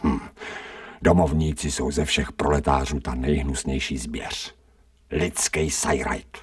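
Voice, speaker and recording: An actor playing a scrawny, streetwise stray dog in a radio drama, grumbling in colloquial speech with sardonic self-pity. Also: male voice, extremely low pitch, fairly even intonation, slightly rough, far from the mic, heavily acted. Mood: suffering, bitter. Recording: 1990 radio drama, off-mic.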